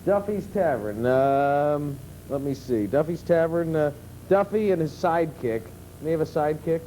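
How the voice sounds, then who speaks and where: A man's voice: a little high, expressive, normal speed, mellow, a little hiss or hum, rough recording. An American man hosting a studio talk show, conversationally questioning a guest and audience members.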